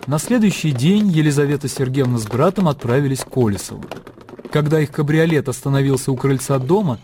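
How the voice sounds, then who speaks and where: A man narrating a literary radio drama in a measured storytelling manner. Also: medium pitch, expressive, music in the background.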